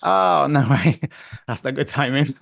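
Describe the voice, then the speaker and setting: Upbeat guy interviewing a band over a call, bit croaky, dry close sound. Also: mid-range voice, animated, a little slow, a bit bright, no room sound. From Montreal-based. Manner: laughs often, chatty and upbeat. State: slightly croaky voice. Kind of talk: casual video-call interview.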